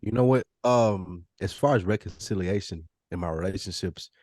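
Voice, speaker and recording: A man's voice: low voice, normal speed, darkish tone, dry recording, studio quality. A man chatting casually on a podcast, blunt and often restarting his phrases as he explains himself. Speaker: podcast panelist. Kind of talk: casual chat.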